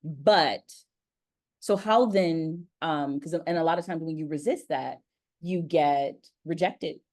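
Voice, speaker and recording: An American woman with a low-pitched voice hosts a conversational interview. She speaks with emphasis and restates key phrases to drive them home. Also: takes it slowly, darkish tone. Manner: emphatic, repeats phrases for effect. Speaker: interviewer and voice-acting community leader.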